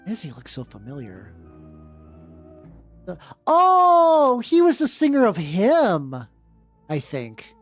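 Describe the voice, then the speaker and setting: Animated woman streamer, rambling over background music. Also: on the high side, slow pace, long pauses. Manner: rambling, stammering, repeats words and breaks off to comment. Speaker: vtuber streamer host. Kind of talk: casual livestream chat mixed with reading fan fiction aloud.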